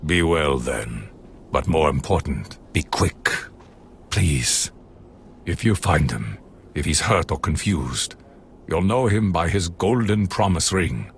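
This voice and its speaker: A man's voice: extremely low pitch, expressive, slow, creaky, a little hiss or hum, exaggerated voice. An actor playing a grieving, bitter villager in a video game, grave and reproachful as he pleads for help. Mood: pleading. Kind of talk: video game dialogue acting.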